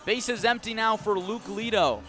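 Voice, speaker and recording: A man's voice: sits high, normal speed, over music. A man doing live radio play-by-play of a baseball game, moving between clipped calls and conversational asides. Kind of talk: live radio sports commentary. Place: outdoor ballpark broadcast.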